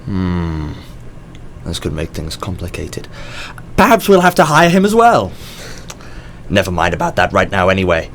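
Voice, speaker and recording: Male, actor as mysterious corporate boss for audio drama: lowish voice, polished, probing, noisy ambience. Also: wildly swooping pitch, normal speed, a bit bright, exaggerated voice. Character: mysterious, smoothly encouraging male company boss conducting an interview.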